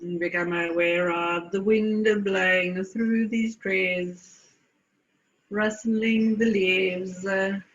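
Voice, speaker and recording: A woman from South Africa leading a guided meditation, speaking in deliberate phrases with long pauses. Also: medium pitch, steady tone, dull audio.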